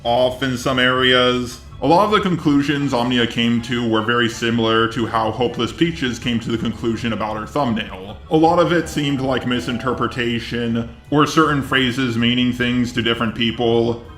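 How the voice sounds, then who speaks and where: A man giving scripted online drama commentary in a measured, conciliatory way. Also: medium pitch, fairly bright tone, echoey room. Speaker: YouTube commentator.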